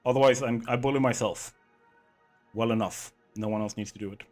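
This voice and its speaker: A man's voice: mid-range voice, fairly even intonation, fairly quick, a little mellow. Chatty man over faint music. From southern Sweden. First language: Swedish. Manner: rambling and chatty, reads chat aloud, lots of "like". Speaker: game streamer. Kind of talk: casual live-stream chat while building.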